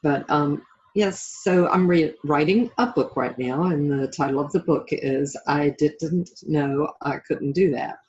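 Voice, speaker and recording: A woman's voice: sits low, a little slow, a little mellow, highs cut off. A middle-aged woman being interviewed as a life coach and author, talking reflectively and conversationally in an encouraging way.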